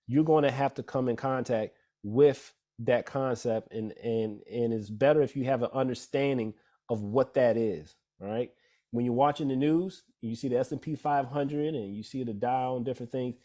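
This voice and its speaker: A man at a middle pitch walks listeners through investing basics in a relaxed, dark-toned voice. He is a financial advisor presenting slides. He keeps checking in with his audience as he explains. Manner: conversational, many fillers and "all right?" checks. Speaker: financial advisor giving a talk. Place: church event room.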